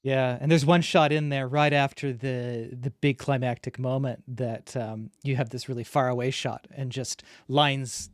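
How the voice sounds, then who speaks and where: A man's voice: medium pitch, steady tone, moderate pace, few pauses, no echo, clean studio sound. A man hosting a casual interview, chatting conversationally and reacting with impressed enthusiasm. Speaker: interview host.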